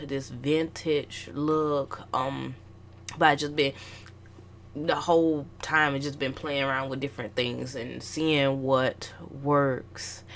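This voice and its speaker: Low, gravelly, stuffy-nosed woman. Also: a bit bright, slight background noise. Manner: meandering, lots of ums and restarts. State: stuffy nose from a flu. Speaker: artist vlogger. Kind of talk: casual rambling chat.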